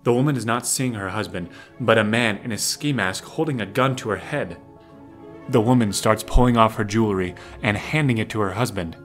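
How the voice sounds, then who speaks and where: A man with a fairly low voice reads scripted drama narration. He sets out the action in the present tense, with faint music underneath. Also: a little fast.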